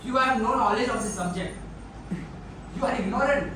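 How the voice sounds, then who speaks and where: A man's voice: extremely high pitch, normal speed, a bit bright. Male actor as idealistic adult son, Indian accent, argumentative, stage drama, roomy echo, faint noise. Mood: accusing. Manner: theatrical, argumentative. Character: defiant, idealistic adult son, a passionate dancer. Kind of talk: stage play acting. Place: indoor theatre stage. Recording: echoey stage recording, distant mic, faint noise.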